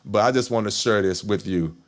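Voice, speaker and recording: A man's voice: fairly low pitch, fairly quick. A man hosting a casual live podcast chat, talking with a stutter and repeating his words. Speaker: podcast host.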